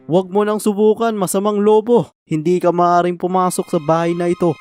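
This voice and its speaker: Isang babaeng gumaganap bilang kumpiyansa at maprotektang panganay na baboy sa kuwentong pambata, matatag magsalita. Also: female voice, lowish voice, little pitch movement, fairly quick, darkish tone, close to an everyday voice. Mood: confident, defiant. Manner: firm, self-assured. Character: confident, protective eldest brother pig. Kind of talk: children's fairy tale character acting. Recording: faint music behind.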